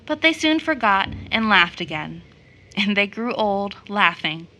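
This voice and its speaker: A woman's voice: medium pitch, big pitch swings, unhurried, bright, forceful tone. A woman playing a tender, wistful mother figure, soothing and affectionate in an audio stage drama. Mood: storytelling.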